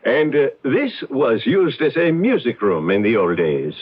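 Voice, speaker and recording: An actor playing a courteous, formal gentleman in an old-time radio drama, with small hesitations. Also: medium pitch, huge pitch swings, measured pace, noisy background. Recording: thin, phone-like vintage radio recording.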